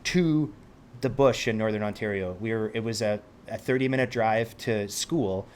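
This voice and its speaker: A man's voice: on the low side, moderate pace, a little hiss or hum. A man from Switzerland, a helicopter pilot being interviewed, talking in a reflective way and often restarting his sentences as he searches for words. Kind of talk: casual conversation.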